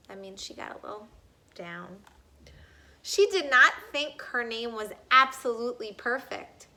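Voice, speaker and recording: A woman reads a children's story aloud in a high, very expressive voice. She takes her time with the sentences and turns to her young listeners with simple questions. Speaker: storyteller reading a picture book aloud to children.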